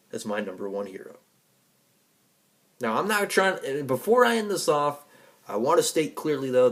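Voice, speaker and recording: A man giving a rambling personal monologue to an audience in an emphatic, defiant, profanity-laced way. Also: lowish voice. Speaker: vlogger and music fan.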